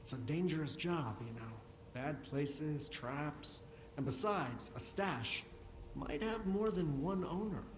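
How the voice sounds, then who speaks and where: A man's voice: on the high side, lively intonation, takes it slowly, echoey room, background noise. An actor playing a wry, chatty male technician in a post-apocalyptic video game. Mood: uneasy.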